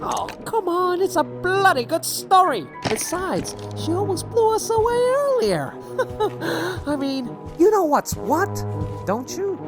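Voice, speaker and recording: A woman's voice: very high voice, big pitch swings, takes it slowly, full character voice. A woman playing a chatty, wry storyteller recounting gangster exploits in a scripted audio drama. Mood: wry, eager. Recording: music under the voice. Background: music.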